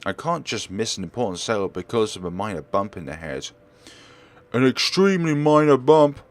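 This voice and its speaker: A man's voice: on the low side, very animated, normal speed. A man voicing a stubborn, plucky young female real estate agent in a visual novel, eagerly brushing off concern. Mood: insistent.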